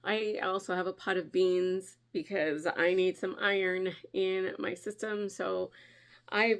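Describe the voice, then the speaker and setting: Brief: chatty woman vlogger, nasal with a cold, gravelly edge, mid pitch. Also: steady tone, measured pace. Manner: chatty, friendly, often laughing. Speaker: crafting channel host. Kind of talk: casual vlog chat.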